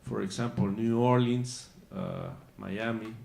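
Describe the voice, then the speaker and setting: Gravelly-voiced Mexican panelist, hesitant delivery, echoey conference room. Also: male voice, fairly low pitch, darkish tone. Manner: halting, many uh fillers and restarts. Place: conference hall. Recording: roomy conference hall.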